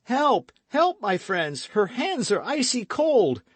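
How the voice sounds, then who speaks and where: A man's voice: very high-pitched, sing-song, slow pace, husky, no room sound, voice pushed up, exaggerated voice. A man reading aloud voices a passionate young man who cries out frantically. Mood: frantic. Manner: crying out frantically.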